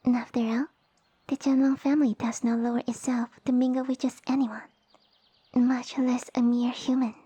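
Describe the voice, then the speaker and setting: A woman voicing a small, haughty young snake-girl noble in an ASMR roleplay, speaking softly with pompous, formal condescension. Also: on the high side, flat delivery, normal speed, breathy edge, dry recording. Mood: proud, condescending. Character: small haughty young lamia noblewoman, sassy and easily offended. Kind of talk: ASMR audio roleplay acting. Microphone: close dry microphone.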